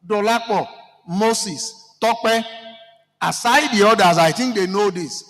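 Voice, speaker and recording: A Nigerian man with a Yoruba-speaking accent, addressing a seminar audience with animated, rhetorical questions. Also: high voice, slow pace, in a large hall. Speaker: seminar participant speaking to the floor.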